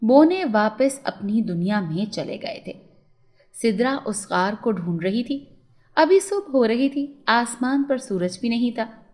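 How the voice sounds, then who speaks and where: Fairly high-voiced woman storyteller. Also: a little mellow. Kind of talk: scripted children's story narration.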